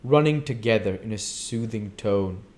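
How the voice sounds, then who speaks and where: Low-voiced man reading a novel aloud. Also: moderate pace. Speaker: reader and channel host.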